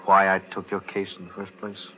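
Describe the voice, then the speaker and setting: An actor playing a composed, principled lawyer with measured, firm delivery in a 1940s radio thriller. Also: male voice, low-pitched, completely flat delivery, lightly acted. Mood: calm resolve. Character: composed, principled male lawyer, quietly resolute. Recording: muffled, noisy vintage radio broadcast.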